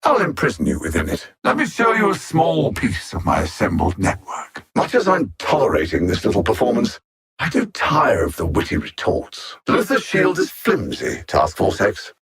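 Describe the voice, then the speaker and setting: An actor playing an arrogant alien supervillain in a video game, coldly menacing and theatrical, with haughty, condescending, precisely worded speech. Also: male voice, lowish voice, huge pitch swings, normal speed, gravelly, fairly bright tone, breathy edge, heavily acted. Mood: haughty disdain. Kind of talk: scripted video game villain lines.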